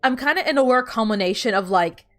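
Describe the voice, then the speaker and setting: A woman's voice: on the high side, lively intonation, quick pace, fairly bright tone. A woman whose first language is Spanish, chatting casually with viewers while she plays a video game, rambling and often restarting her sentences. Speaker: streamer.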